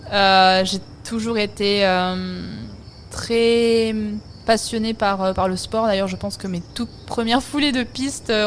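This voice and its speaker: A woman in her thirties from France, telling her own story in an interview, relaxed and reflective with many hesitant pauses. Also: medium pitch, slight background noise. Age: 30s. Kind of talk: personal testimony interview.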